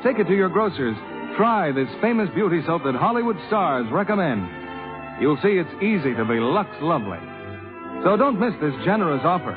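A man's voice: high-pitched, big pitch swings, normal speed, over music. A man announcing in the scripted, selling style of 1950s radio. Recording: tinny vintage 1950s radio broadcast.

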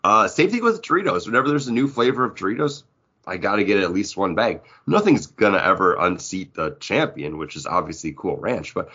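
A man's voice: deep voice, lively intonation, a little fast, bright, forceful tone, slightly rough, dull audio. A man chatting casually and bantering as a podcast co-host. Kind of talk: casual chat.

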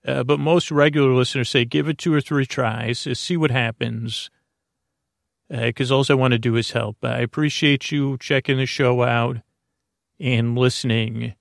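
A man's voice: medium pitch, fairly even intonation, moderate pace, vocal fry, no echo, clean studio sound. A middle-aged man hosting a sleep podcast, talking in a meandering, hesitant bedtime monologue full of uhs. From Bay Area, California.

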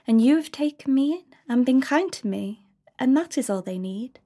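A woman voicing a gentle, sad otherworldly young boy, speaking softly and quietly. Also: high-pitched, expressive, normal speed. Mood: tender. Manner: soft, quiet delivery.

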